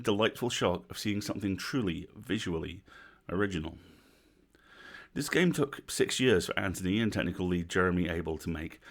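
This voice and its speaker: Deep-voiced British man, wryly reading aloud. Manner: wry, dry humour. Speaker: host of a livestream reading series. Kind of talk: reading a written review aloud with casual asides.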